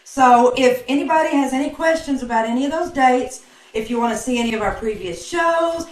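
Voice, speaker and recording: A woman's voice: high-pitched, brisk. An American woman giving casual, chatty announcements as co-host on a video call. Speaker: co-host of radio troupe show. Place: indoor room, video call. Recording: echoey, distant video-call sound.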